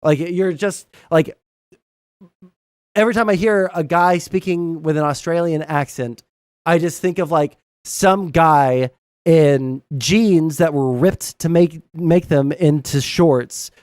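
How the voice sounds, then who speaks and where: A man's voice: a little high, a little mellow, no echo, studio quality. Blunt rambling Southern streamer man. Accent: Southern US (Arkansas). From Southern Arkansas, US. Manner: rambling, blunt, swears, frequent fillers. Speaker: streamer host. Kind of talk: casual live-stream commentary reading chat.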